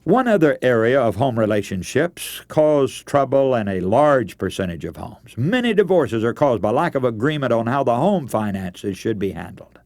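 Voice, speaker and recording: A man's voice: mid-range voice, very animated, normal speed, a little mellow, dry recording. A man preaching a radio sermon, giving practical advice in an earnest, instructive way. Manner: earnest, instructive, addresses listeners as friends.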